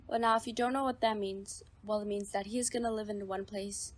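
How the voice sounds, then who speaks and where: A woman's voice: medium pitch, fairly even intonation, brisk, natural voice. A woman playing a bitter, vain mother in a radio drama, with a snippy, theatrical delivery. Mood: bitter, blunt.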